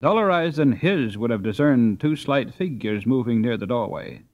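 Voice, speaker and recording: A man's voice: medium pitch, lively intonation, normal speed, dull audio. Man narrating a storybook expressively. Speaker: audiobook narrator.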